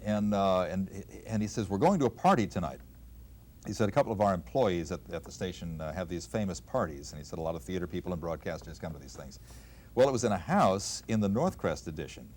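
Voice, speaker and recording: Older man reminiscing in a low voice. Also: lively intonation, darkish tone, slight background noise. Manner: halting, anecdotal, quotes past conversations. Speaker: radio veteran, former program director. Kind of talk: unscripted reminiscing, storytelling.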